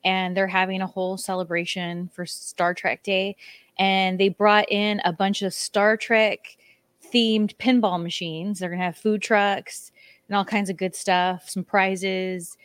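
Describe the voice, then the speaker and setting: A woman's voice: medium pitch, little pitch movement, unhurried, smooth, no echo. Chatty, blunt woman co-host. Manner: chatty, self-mocking, blunt. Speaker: podcast co-host. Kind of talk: casual chat.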